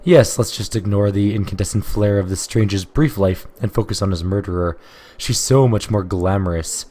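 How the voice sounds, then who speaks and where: A tormented male sculptor lashes out in a low, hoarse voice that is scornful and worn down by despair, as a man reads him aloud in a game playthrough. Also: normal speed, dark tone, close to an everyday voice. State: hoarse from illness.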